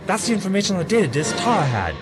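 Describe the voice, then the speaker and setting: Guy as a brisk time traveller hero, earnest and bossy, high lively voice, loud music under it. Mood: commanding. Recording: loud music behind the dialogue.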